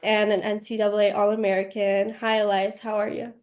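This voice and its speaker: A woman's voice: medium pitch, flat delivery, a little fast, a little mellow. A congested woman hosting a podcast interview and putting questions to her guest.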